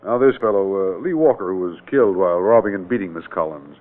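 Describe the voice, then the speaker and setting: Courteous, probing auditor, tinny radio. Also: male voice, low voice, expressive. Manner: measured, quizzical, businesslike. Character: calm, probing, courteous state auditor investigating. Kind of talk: old-time radio drama acting. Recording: tinny band-limited vintage radio recording.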